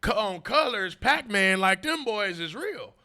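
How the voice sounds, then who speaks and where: A man's voice: high voice, sing-song, measured pace, vocal fry, sharp tone. A man, telling his story as a podcast guest in emphatic, slang-heavy casual talk. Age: 40s. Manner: emphatic, repetitive street slang, frequent "you know what I mean".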